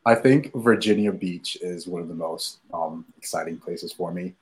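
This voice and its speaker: A man, a startup founder answering interview questions in a measured way with many fillers. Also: low-pitched. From Nigeria.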